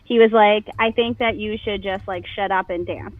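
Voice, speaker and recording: A woman's voice: mid-range voice, steady tone, normal speed, no echo. A woman in her thirties from New Jersey with a New Jersey accent, a nasal voice and a chatty manner full of fillers, talking as a guest in an interview. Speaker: podcast guest. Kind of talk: casual interview chat. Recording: phone-like narrow band.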